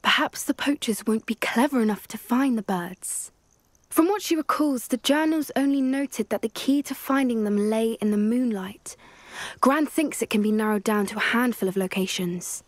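A woman's voice: a little high, moderate pace, no echo, natural voice. A young woman with a British accent playing an earnest, articulate teenage witch who protects magical creatures in a video game. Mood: earnest, informative. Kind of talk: video game cutscene acting. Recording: music underneath. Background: music.

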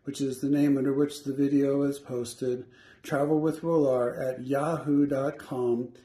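A man's voice: medium pitch, flat delivery, measured pace, warm tone, husky, dry recording. A man in his fifties, a travel vlogger, giving a plain, matter-of-fact narration. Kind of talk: casual campground tour narration. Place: moving vehicle. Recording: faint car noise behind.

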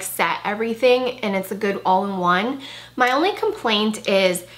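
A woman's voice: medium pitch, a bit bright. A woman in her thirties talks through a makeup review at her desk, eager and chatty, often leaning on "really" and "like." Her voice is slightly worn, as she is losing it. She is recorded on a camera mic in her bedroom. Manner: chatty, enthusiastic, with frequent "like" and "really". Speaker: beauty vlogger. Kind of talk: casual product review. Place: bedroom with clothing rack, indoors.

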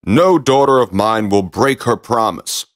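A man's voice: mid-range voice, lively intonation, measured pace, close to an everyday voice. A man voicing a stern, principled king and father in a storybook, speaking in a firm, commanding manner. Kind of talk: storybook character voice.

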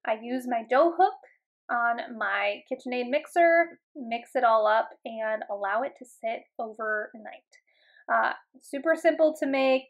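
Relaxed, chatty woman with a higher voice. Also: a little slow, muffled recording. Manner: relaxed and chatty, with frequent ums and uhs. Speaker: home cooking vlogger. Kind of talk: casual voice-over narration. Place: home kitchen voice-over.

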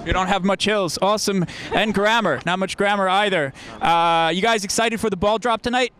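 A man in his thirties doing comic street interviews with a deadpan, mock-confused manner into a handheld microphone. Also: high-pitched, moderate pace. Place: crowded outdoor city square at night. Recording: noisy outdoor street recording.

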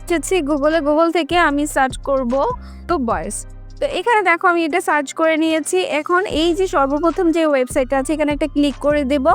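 A woman's voice: high-pitched, fairly quick, soft background music. A woman giving a casual how-to tutorial, talking directly to her viewers step by step. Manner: instructional, addressing viewers directly. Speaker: tutorial host.

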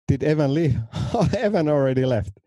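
A man's voice: a little high, lively intonation, moderate pace, mellow. A Finnish man hosting a casual podcast over a video call, friendly and upbeat. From Finland. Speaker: podcast host. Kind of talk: casual chat.

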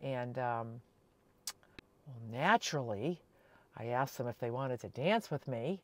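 A woman in her sixties chatting casually to camera as a vlogger, telling everyday stories in a chatty, animated way. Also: a bass voice, measured pace. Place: inside a small camper van.

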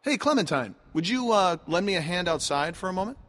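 An actor playing a reasonable middle-aged ex-teacher in a video game drama, measured, reassuring and philosophical. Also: male voice, sits high, expressive, fairly bright tone, no echo. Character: gentle, reasonable older ex-teacher, peacemaking survivor.

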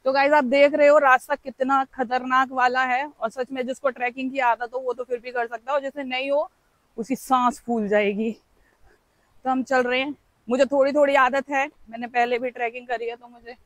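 Young woman, travel vlogger, high voice, dry close phone sound, chatty and playful on a forest trail. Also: fairly bright tone, no room sound, rough recording. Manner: chatty, playful, exclaims while hiking. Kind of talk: casual vlog walking commentary. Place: outdoors on a forest trail by a stream. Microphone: handheld phone camera.

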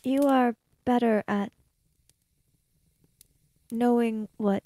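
A woman's voice: a little high, fairly even intonation, very slow, dark tone, dry recording. A woman improvising narration and dialogue as a player in a tabletop role-playing game.

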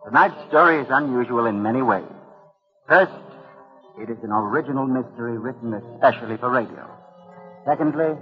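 A man hosting and narrating a radio mystery series in formal, deliberate 1940s style. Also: mid-range voice, dull audio.

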